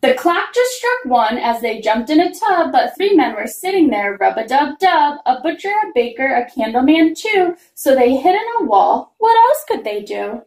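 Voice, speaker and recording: A woman reading a rhyming children's story aloud in an expressive, singsong manner. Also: sits high, moderate pace, few pauses. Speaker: reader of a children's picture book. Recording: roomy, echoing room sound.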